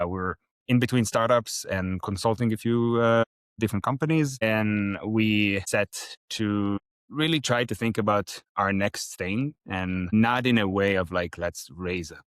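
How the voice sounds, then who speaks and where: A low-voiced man in his forties, a startup founder, talks in a reflective, hesitant way full of pauses and fillers. The sound is dry and close, with no room echo. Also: fairly bright tone. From Israel. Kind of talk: podcast interview.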